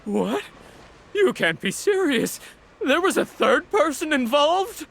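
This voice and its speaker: A man's voice: extremely high pitch, big pitch swings, takes it slowly, vocal fry, a bit bright, full character voice. A man playing a hapless, gullible and easily flustered criminal in a video game. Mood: shocked. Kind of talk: video game story voice acting. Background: faint music.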